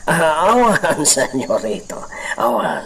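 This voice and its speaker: A man's voice: a little high, huge pitch swings, takes it slowly, fairly bright tone, full character voice. A man with an Ilocano accent playing a deferential household servant in a radio drama. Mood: deferential, hesitant. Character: deferential household servant addressing the young master. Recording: noisy, distant from the mic.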